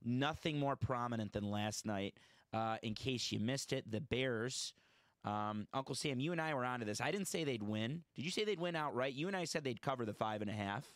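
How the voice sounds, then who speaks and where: A man's voice: mid-range voice, brisk, dry recording. A man hosting a casual sports podcast, chatty and opinionated, often correcting himself mid-sentence. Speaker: podcast host.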